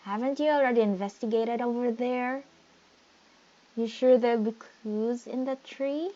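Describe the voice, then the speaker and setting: A woman's voice: on the high side, fairly even intonation, unhurried, warm tone, highs cut off. A woman hosting a game playthrough, reacting casually and informally to what happens. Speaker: gamer, playthrough host. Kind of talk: casual reactions while playing, reading dialogue along.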